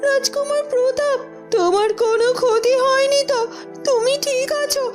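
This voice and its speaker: A woman voicing a gentle, anxious young fairy maiden in a cartoon, tender and full of concern. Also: very high-pitched, fairly even intonation, moderate pace, loud music behind the voice, voice pushed up. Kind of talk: cartoon voice acting.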